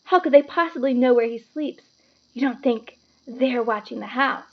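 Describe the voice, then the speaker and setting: Lady doing a high fretful storybook aunt, shaky and panicky, slightly muffled recording. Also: normal speed. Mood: fearful. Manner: quavering, fretful. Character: anxious, shrill middle-aged aunt, easily horrified.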